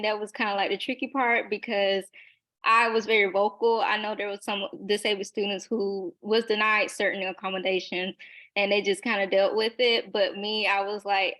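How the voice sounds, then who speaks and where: A young woman in her twenties speaking as a panelist in a webinar, earnest and emphatic, with fillers and short laughs, over a video call. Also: mid-range voice. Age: young adult. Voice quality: loud voice.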